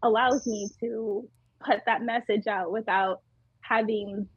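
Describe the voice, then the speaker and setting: A woman with a tiny, slightly high voice and a breathy, husky edge, talking as a guest in a casual interview. She giggles often and hedges with fillers. The sound is dry and a little dull, close on a podcast mic. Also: steady tone, takes it slowly, no room sound. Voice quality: small, tiny voice. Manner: giggly, hesitant with many fillers. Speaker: muralist guest.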